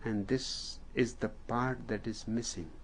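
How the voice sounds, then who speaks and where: A man with an Indian accent, a native Hindi speaker, telling a story as a spiritual teacher in a deliberate, unhurried way with long pauses. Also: mid-range voice, steady tone, slight background noise. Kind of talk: slow storytelling discourse.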